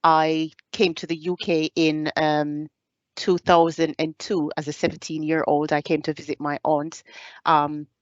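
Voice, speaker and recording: A Jamaican woman in her thirties, a soldier giving a hesitant presentation over a video call, pausing often on fillers. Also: deep voice, level tone, no echo, dull audio. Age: 30s. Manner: hesitant, many filler pauses. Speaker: serving army staff sergeant giving a talk.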